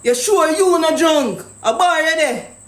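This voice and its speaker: A man's voice: very high-pitched, animated, measured pace, fairly bright tone, echoey room. A man with a Jamaican accent making a prank phone call, talking pushy and accusing in thick patois. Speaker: prank caller.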